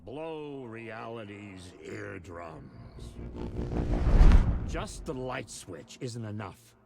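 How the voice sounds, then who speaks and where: An actor playing a cryptic, aging rock-and-roll mentor in a video game, making theatrical, rhythmic proclamations. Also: male voice, lowish voice, expressive, extremely slow, vocal fry, very noisy, heavily acted. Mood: rousing, swaggering. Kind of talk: dramatic video game voice acting.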